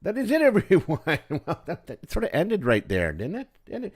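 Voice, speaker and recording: A man's voice: high voice, wildly swooping pitch, moderate pace, a little mellow. A man chatting informally as a livestream host, rambling casually from one remark to the next.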